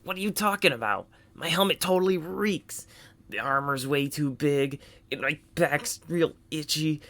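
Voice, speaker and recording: A man voicing an excitable young thief who also plays a noble stage swordsman in a theatrical video game fan dub. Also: fairly high pitch, normal speed. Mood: excitable banter. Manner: stagey declaiming mixed with grumbling banter.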